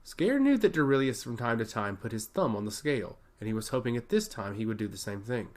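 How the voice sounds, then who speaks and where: Animated male fantasy narrator. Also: mid-range voice, a little fast. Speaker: storyteller, narrator.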